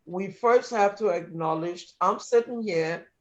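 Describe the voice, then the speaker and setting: A man's voice: high-pitched, a little slow, a bit bright. A man from Liberia with a North American accent, speaking earnestly and emphatically as a panelist in a discussion. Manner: earnest, emphatic, some restarts. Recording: dull lo-fi sound.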